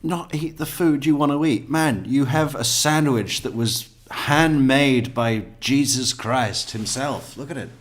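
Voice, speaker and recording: A man's voice: medium pitch, a little slow, hardly any pauses. A man commenting on an interrogation video in a joking, sardonic way, with some swearing. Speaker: true crime commentator.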